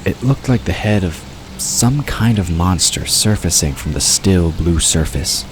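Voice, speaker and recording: Male narrator, extremely low pitch, scripted fiction, clear ambient noise behind. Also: expressive, measured pace.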